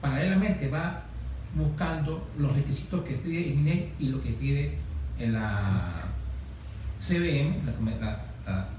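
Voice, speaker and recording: A man gives halting explanations as an interview guest, at a medium pitch. He is recorded from away from the microphone in a very echoey room, with clear noise behind him. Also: darkish tone. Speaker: interview guest, environmental expert. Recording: very echoey, distant microphone, noisy background.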